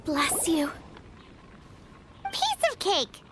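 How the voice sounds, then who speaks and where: A tiny childlike fairy companion from a video game. The voice is squeaky and extremely high, swooping widely in pitch at an unhurried pace. It is cheeky and exclamatory. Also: female voice, wildly swooping pitch, exaggerated voice. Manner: refers to self in third person, exclamatory. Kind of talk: video game character acting. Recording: loud music behind the voice.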